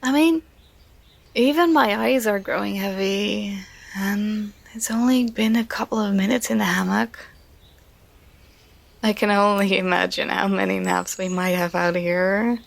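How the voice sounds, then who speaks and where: A woman playing an affectionate, contented girlfriend in an ASMR roleplay, speaking in a tender, soothing, intimate and unhurried manner. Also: mid-range voice, fairly even intonation, vocal fry, no echo. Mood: calm, content.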